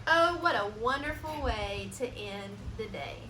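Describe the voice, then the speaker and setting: A woman reading a children's storybook aloud expressively, pausing for asides and questions to young listeners. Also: on the high side, expressive. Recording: roomy echo, noticeable background hiss.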